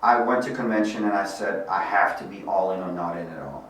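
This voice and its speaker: Soft-spoken man with a Bosnian background. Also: low voice, a little fast. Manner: earnest, reflective, polite. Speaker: podcast guest, insurance agency executive. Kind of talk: casual interview storytelling. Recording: echoey room, distant mic, faint hum.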